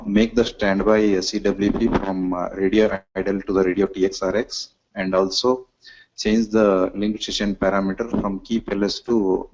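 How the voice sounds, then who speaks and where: A man giving a halting, step-by-step software demonstration as a product demonstrator in a webinar. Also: fairly low pitch. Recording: dull lo-fi webinar audio.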